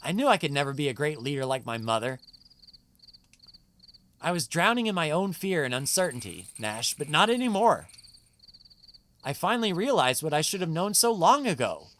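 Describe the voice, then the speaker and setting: An actress playing an earnest, gentle young female guild heir in a video game, speaking in a sincere, measured way. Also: low-pitched, big pitch swings, slight background noise. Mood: earnest, resolute.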